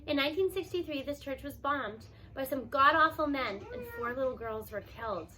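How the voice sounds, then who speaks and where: A woman reading a children's novel aloud at home, acting out the characters' lines and slowing down for emphasis. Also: high voice, sharp tone, low-quality recording. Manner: acts out dialogue with raised voice and slows for emphasis.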